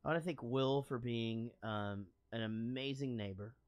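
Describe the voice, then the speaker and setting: A man hosting a live-streamed show, chatting casually in a rambling, excitable way with plenty of swearing. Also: mid-range voice, moderate pace, clear, resonant tone. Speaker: podcast host.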